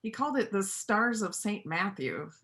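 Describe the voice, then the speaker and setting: A woman plays a principled widowed country animal doctor in a stage reading. Her voice is bright and forceful, and she speaks plainly, with earnest, persuasive conviction and gentle firmness. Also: medium pitch, very animated, normal speed. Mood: reflective. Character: principled widowed country vet, gentle but firm pacifist. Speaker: amateur church play performer. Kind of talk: reader's theater performance.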